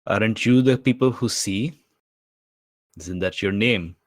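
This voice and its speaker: A man playing an intense, feverishly excited prisoner in a tabletop game, speaking in urgent, slangy fragments. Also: lowish voice, unhurried, no echo, studio quality, voice pushed up.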